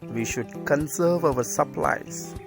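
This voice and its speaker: A man's voice: fairly high pitch, slow, gravelly. A man playing a cautious, sensible friend crossing a desert in a moral tale. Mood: cautioning. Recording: music behind the voice.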